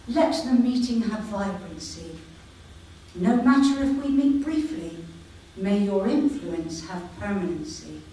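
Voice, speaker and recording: A woman reading her own poems at a live poetry evening, slow and measured, with light humour and no microphone. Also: a little high, warm tone, a little hiss or hum. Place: community hall with live audience. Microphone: no microphone, voice projected. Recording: echoey hall, voice from far off.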